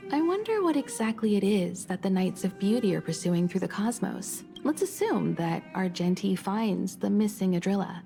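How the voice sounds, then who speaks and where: A woman voicing a calm, wise, thoughtful mentor in a video game, speaking in a measured, philosophical way. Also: mid-range voice, vocal fry. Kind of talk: video game character dialogue. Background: faint game music.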